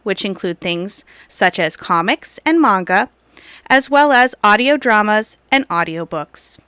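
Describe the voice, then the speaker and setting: A woman delivers a scripted promotional message with measured, formal wording. Her voice is smooth and crisp at a medium pitch, recorded very dry. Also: a bit bright, dry recording. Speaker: magazine publisher.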